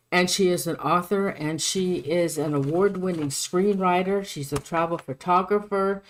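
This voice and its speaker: A woman hosting a live paranormal podcast, gushing and enthusiastic, who often stumbles and restarts her sentences. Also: lowish voice. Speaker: podcast host.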